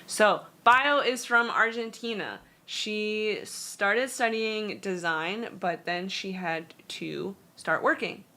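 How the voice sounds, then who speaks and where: A woman hosts a casual livestream, talking slowly and unhurriedly. Her delivery is loose and chatty, full of fillers as she reads out and translates messages. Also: mid-range voice, sharp tone. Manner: halting non-native Spanish. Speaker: stream host. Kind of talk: casual livestream art critique chat.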